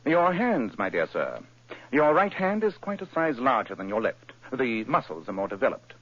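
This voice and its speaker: A sharp, brisk detective in an old radio play, his voice rising and falling with lively precision. The tone has a husky edge, and the old broadcast sounds dull and muffled. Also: medium pitch. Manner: crisp, precise, lively deductions. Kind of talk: 1940s radio acting.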